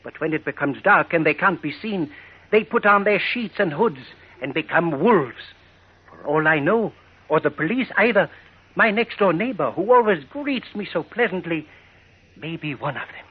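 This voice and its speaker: Husky, grave, worried father. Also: a little high, animated. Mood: grave, fearful. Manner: formal, measured diction. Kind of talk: 1940s radio drama acting. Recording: muffled old radio recording.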